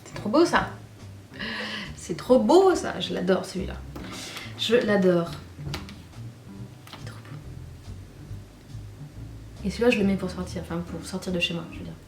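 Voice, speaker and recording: A woman's voice: on the low side, animated, unhurried, creaky, long pauses, distant mic, faint music behind. A woman in her thirties from Normandy, chatting casually to camera as a beauty vlogger, gushing and repeating herself with many fillers. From Normandy, France. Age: 30s. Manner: gushing and repetitive, trails off with fillers. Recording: echoey room, off-mic.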